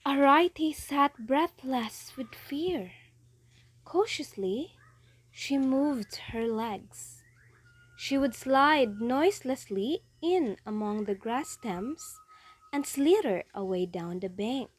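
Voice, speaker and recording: A woman's voice: high voice, animated, slow pace, slightly rough. A woman narrating a story expressively for a reader's theatre.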